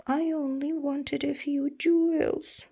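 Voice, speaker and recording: A woman voicing excitable little characters who face dragons in a children's storybook, exclaiming their lines. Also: sits high, slow, gravelly, voice pushed up, exaggerated voice. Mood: pleading.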